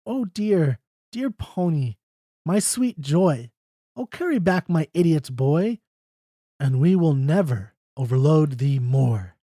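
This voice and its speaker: A reader voicing a distraught older mother, pleading and wailing in high pitch. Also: sing-song, takes it slowly, warm tone, no echo, clean studio sound. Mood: weeping.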